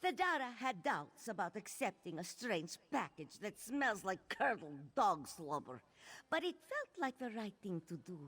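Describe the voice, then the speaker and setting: A woman playing a polite but catty cat-folk merchant in a fantasy game, measured and courteous with a husky voice. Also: mid-range voice. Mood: politely catty. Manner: measured, courteous, refers to herself in third person.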